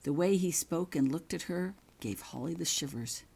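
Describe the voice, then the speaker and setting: A woman reading her own fiction aloud as expressive audiobook-style narration. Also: low-pitched, moderate pace, creaky, slightly rough. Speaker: author reading own story.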